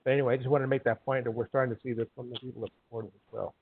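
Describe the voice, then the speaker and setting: A man's voice: mid-range voice, level tone, very fast, breathy edge, no room sound. Man on a dry phone line. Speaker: conference call participant. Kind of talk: informal work update. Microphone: conference call line.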